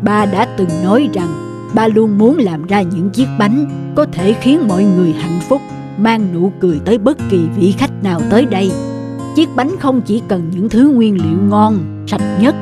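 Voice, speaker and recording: A woman's voice: mid-range voice, close to an everyday voice. Woman voicing an aging, wise cartoon father over music. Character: aging, kindly, wise bakery-owner father. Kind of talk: animated moral-tale dubbing.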